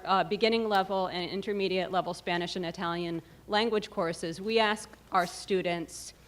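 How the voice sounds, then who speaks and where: A woman faculty member giving panel discussion remarks in a measured way, pausing often on fillers. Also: mid-range voice, a bit bright. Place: lecture room.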